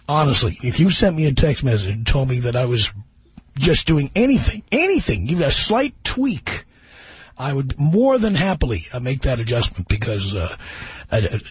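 A man's voice: mid-range voice, slightly rough, no echo. A middle-aged man hosting a live radio talk show, brash and joking, teasing his own studio staff. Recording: dry, dull radio broadcast.

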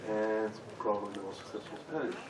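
A man from Serbia, speaking haltingly as a conference panelist. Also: on the low side. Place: large conference hall with audience. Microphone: stage microphone heard from far off. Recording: echoey hall, distant, audience noise.

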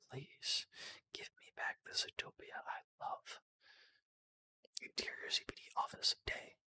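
A person whispering as they read a film screenplay aloud in an ASMR style, narrating and voicing the parts with a breathy voice. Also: pushed and bright.

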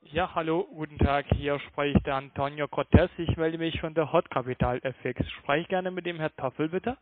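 A man's voice: fairly high pitch, steady tone, normal speed, dry recording. A man making a formal, persistent phone sales call, speaking hesitantly as an agent. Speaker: cold-calling sales agent. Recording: narrowband phone line.

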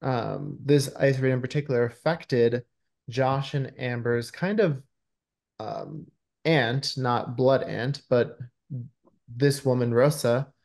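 Creaky-voiced man explaining earnestly. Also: medium pitch, darkish tone. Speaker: screenwriter being interviewed. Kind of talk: conversational interview answers. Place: indoor room at home, video call. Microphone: video call microphone.

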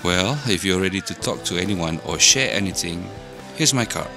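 A laid-back, supportive music manager in an animated motion comic. His lowish male voice is casual and measured. Music is heard behind him. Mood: calm, sympathetic.

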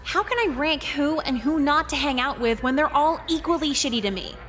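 An actress playing a jaded, bitter high school girl in a video game, with deadpan, biting sarcasm. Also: brisk.

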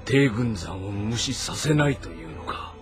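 A man's voice: fairly low pitch, animated, measured pace, music in the background. A man playing a calculating ancient Chinese warlord in a historical game, brooding and authoritative in delivery. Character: commanding, calculating male warlord of ancient China. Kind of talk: dramatized historical game monologue.

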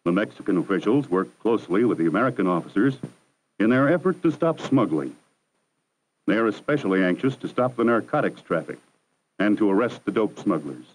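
A man narrating a 1960s educational film in a measured, formal, instructive manner. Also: fairly low pitch, animated, rough recording. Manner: measured, formal, didactic delivery. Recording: 1960s archival film soundtrack.

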